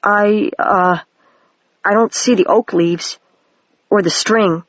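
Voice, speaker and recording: A reader voicing a bold, curious young girl who is a wizard, slightly higher than their plain reading voice, on a dry, dull lo-fi home recording. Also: fairly even intonation, slow, dry recording, close to an everyday voice.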